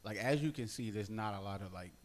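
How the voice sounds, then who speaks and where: A man's voice: fairly low pitch, quick pace. A man hosting a podcast interview, talking in loose, joking, slangy banter. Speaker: podcast host. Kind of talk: casual interview chat.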